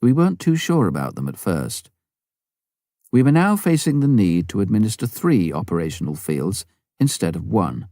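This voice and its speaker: A man narrating a memoir from a script as an audiobook, in measured, clearly phrased storytelling. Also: lowish voice, very animated, warm tone, no echo, clean studio sound.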